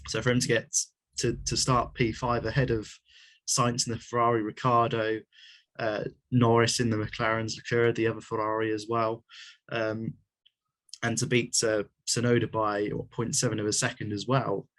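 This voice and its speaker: A man's voice: on the low side, monotone, moderate pace, fairly bright tone. A man with a cold who co-hosts a casual podcast over a video call, rambling through long answers full of restarts and small chuckles. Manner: rambling, with many fillers, restarts and small laughs. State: has a cold. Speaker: podcast co-host.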